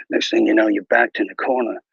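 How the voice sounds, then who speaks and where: A man playing a bumbling, boastful wilderness ranger who hosts a mock nature show, talking in a mock Australian accent with hushed, mock-serious narration and a husky voice. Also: lowish voice, steady tone, normal speed, no echo, highs cut off, full character voice. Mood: hushed bravado. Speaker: mock wildlife show host. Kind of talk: improvised parody nature documentary.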